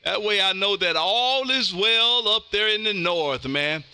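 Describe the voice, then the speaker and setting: An American man hosting a ham radio stream, talking casually in a loud, animated, slangy way. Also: high-pitched, unhurried, clean tone, dry recording. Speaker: amateur radio operator hosting a live stream. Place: home radio room.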